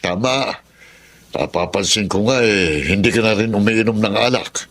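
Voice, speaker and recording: A man's voice: lowish voice, normal speed, a bit bright. An actor playing an avuncular, approving Filipino man who helps a younger friend, in a TV drama. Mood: approving, encouraging. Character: encouraging mature male mentor, helpful overseas welder's colleague.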